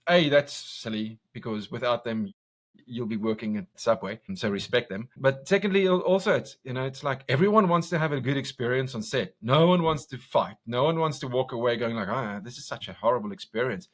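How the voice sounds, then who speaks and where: A man from South Africa with an Afrikaans accent, a commercial director talking passionately in a podcast interview, loud and full of restarts. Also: medium pitch, expressive, fairly quick. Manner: intense, passionate, talks loud, many restarts.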